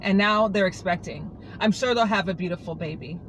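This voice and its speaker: Heartbroken anchorwoman pouring her heart out to a coworker, earnest and pleading, middle pitch, as a reader puts her on. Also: fairly quick, a bit bright, a little hiss or hum, lightly acted, rough recording. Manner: earnest, pleading, confiding to a colleague. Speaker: monologue reader. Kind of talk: dramatic monologue reading.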